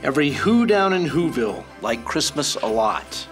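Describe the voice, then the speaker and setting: A man who is a mayor reading a rhyming children's storybook aloud, with an expressive, rhythmic delivery. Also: medium pitch, moderate pace, over music.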